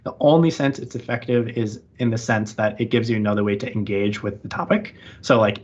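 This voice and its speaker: A man's voice: fairly low pitch, brisk, darkish tone. A man being interviewed on a podcast, chatting casually and rambling with lots of "like" fillers. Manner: rambling, heavy use of "like" fillers. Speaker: interview guest. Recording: muffled lo-fi audio.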